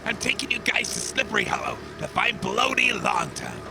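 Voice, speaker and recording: A man playing a gruff, reckless driver on a mission in a comedy audio drama. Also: sits high, big pitch swings, fairly quick, music in the background, full character voice. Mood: determined.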